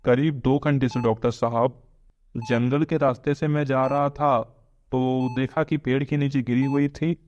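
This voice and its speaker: A man plays a humble, pious village priest from a cartoon moral story. The voice is mid-pitched and kindly, in a dull recording with faint noise. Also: fairly even intonation, unhurried, a little mellow, close to an everyday voice. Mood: concerned. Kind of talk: Hindi cartoon story voice acting.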